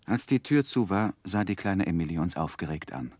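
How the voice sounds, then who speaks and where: An actor playing a grown man recalling his life, narrating a 1960s German radio drama in a measured, reflective, wistful way. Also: low voice, lively intonation, darkish tone, no room sound.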